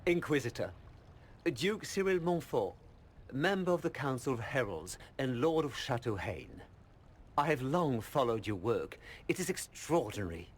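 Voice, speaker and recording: A man playing a smooth, diplomatic nobleman in a video game, formal and courteous. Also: medium pitch, big pitch swings, moderate pace, lightly acted. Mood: courteous, earnest. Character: courtly male Orlesian duke, smooth diplomat. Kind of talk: video game cutscene acting.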